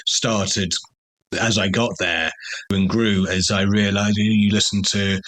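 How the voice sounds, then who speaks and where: A man's voice: fairly low pitch, little pitch movement, a little slow, a bit bright, no room sound. A man hosting a podcast, chatting enthusiastically and self-deprecatingly, laughing often. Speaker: podcast host. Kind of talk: casual conversational interview.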